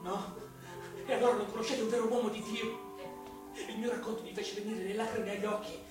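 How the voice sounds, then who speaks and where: Man acting high-pitched, wretched drunkard in echo. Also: fairly even intonation, brisk, lightly acted. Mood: confiding, servile. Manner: pleading, rambling, overwrought, repeating himself. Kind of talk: theatrical radio drama monologue. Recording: very echoey, distant from the mic. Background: music.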